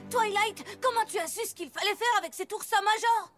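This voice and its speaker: A cheeky little dragon boy from a children's cartoon, pitched extremely high. He sounds boyish, eager and curious, like a young sidekick. There is clear music behind him. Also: a little fast, a bit bright. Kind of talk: French-dubbed children's cartoon acting.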